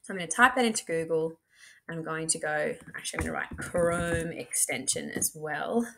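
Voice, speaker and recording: A woman's voice: low voice, normal speed, fairly bright tone, a little hiss or hum. A woman, a teacher, giving a chatty instructional screen demo, talking through each step aloud. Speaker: teacher presenting a software tutorial. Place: indoor room at a computer.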